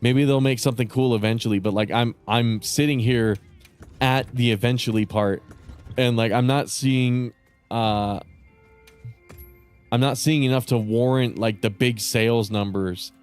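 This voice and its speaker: A man chatting casually with viewers on a live stream, rambling and swearing with lots of fillers, his voice starting to go raspy. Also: mid-range voice, steady tone, a little slow, a little mellow, soft background music. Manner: rambling, profane, many fillers and restarts. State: voice getting raspy.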